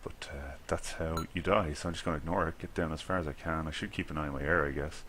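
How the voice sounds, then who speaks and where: A man's voice: a bass voice, steady tone, fast talker, a bit bright, a little hiss or hum. An Irish man talking casually to himself while playing a video game, rambling and chuckling at his own mistakes. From Ireland. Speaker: gaming video creator. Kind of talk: casual gameplay commentary.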